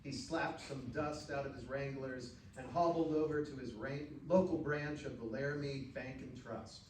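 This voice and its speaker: A man's voice: mid-range voice, steady tone, normal speed, a little mellow. An American man, a professional actor, narrating short fiction aloud at a live stage reading. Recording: roomy, distant stage recording, faint noise.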